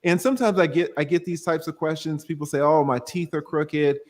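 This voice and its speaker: A man's voice: a little high, a little fast, a little mellow. A man hosting a casual live stream, upbeat, reading viewer comments and questions aloud. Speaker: host.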